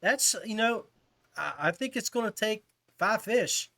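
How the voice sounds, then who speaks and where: Guy with a pretty high voice hosting a laid out casual fishing podcast, buddy talk. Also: animated, measured pace, pushed and bright. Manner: informal, buddy-like address. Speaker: podcast host.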